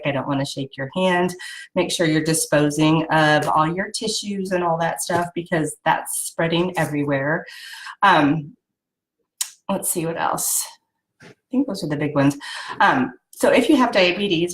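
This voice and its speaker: A woman's voice: sits low, low-quality recording. A woman with a head cold and a nasal voice, casually hosting a live video and explaining health tips with lots of ums. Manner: chatty, explanatory, frequent ums and "right?".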